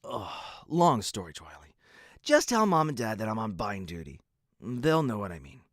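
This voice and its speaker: Man voicing an earnest young guard stallion, lively pitch. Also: moderate pace, no room sound, clean studio sound. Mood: exasperated. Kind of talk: fan audio drama dialogue.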